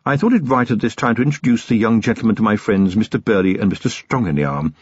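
A man's voice: on the low side, expressive, quick pace, husky, no echo, dull audio. A man voicing a formal, politely menacing manservant with understated manners in an audiobook story. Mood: coolly threatening.

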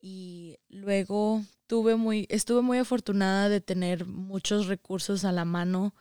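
Young female guest, northern Mexican accent, unhurried and resonant, conversational. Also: mid-range voice, fairly even intonation, warm tone, smooth, dry recording, clean studio sound. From Saltillo, Mexico, and the United States. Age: young adult. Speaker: interview guest. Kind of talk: casual conversation telling own experience.

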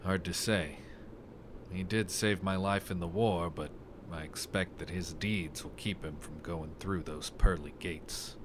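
A man's voice: low-pitched, fairly even intonation, unhurried, noisy background. A man playing a laconic, wry private detective and war veteran in a 1930s noir radio drama.

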